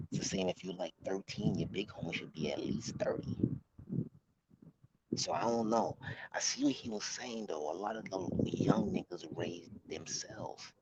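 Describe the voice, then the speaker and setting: Man around thirty, very low creaky voice, slangy casual commentary, halting delivery, noisy outdoor audio. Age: late 20s, about thirty. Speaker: vlog host. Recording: muffled, noisy outdoor recording with clicks.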